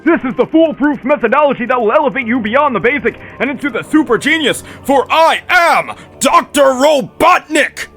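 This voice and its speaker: Male actor for a grandiose villain scientist: high and swooping, scornful, theatrical. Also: pushed and bright, full character voice. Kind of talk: fan comic dub voice acting.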